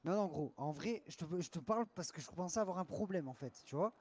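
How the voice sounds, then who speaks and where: A man's voice: on the high side, expressive, fast talker, a little mellow, breathy edge. A man chatting casually in an online roleplay game, slangy and insistent, often repeating his questions. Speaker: streamer trolling players in an online roleplay game.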